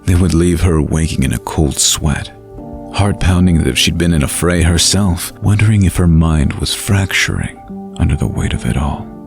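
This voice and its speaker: Deep, gravelly male storyteller. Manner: measured, literary, atmospheric delivery. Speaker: narrator. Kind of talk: scripted fantasy bedtime story narration. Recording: light music behind the voice.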